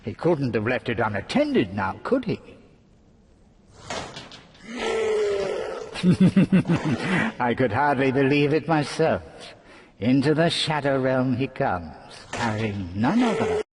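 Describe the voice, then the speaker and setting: An actor playing a gleeful, taunting trickster villain in a video game, with mocking, theatrical relish. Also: male voice, medium pitch, sing-song, measured pace, noisy background. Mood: sardonic delight. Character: gleeful, taunting male trickster schemer holding court.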